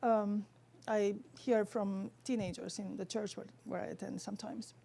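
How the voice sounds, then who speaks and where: A woman with a Romanian accent giving an academic theology lecture in careful, halting, scholarly phrasing. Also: mid-range voice, fairly even intonation, vocal fry, dark tone, a little hiss or hum.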